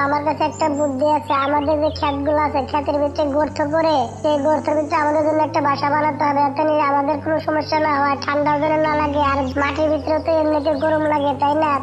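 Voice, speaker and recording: A woman's voice: high-pitched, level tone, quick pace, few pauses, over music, natural voice. A woman voicing a small, worried, bossy talking bird in cartoon story dialogue, often pressing for agreement. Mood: anxious, planning.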